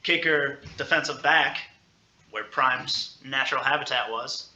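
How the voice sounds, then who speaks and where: A man's voice: medium pitch, unhurried, sharp tone, lo-fi sound. A man hosting a school sports podcast in a casual interview, with a playful, teasing way of hyping up his guests. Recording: roomy, echoey sound.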